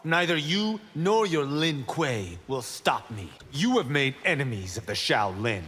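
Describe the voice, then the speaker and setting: A man's voice: medium pitch, very animated, unhurried, fairly bright tone, husky, heavily acted. A man playing a cocky, boastful young Shaolin monk in video game fight banter. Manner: boastful, brash, teasing. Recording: echoing hall reverb.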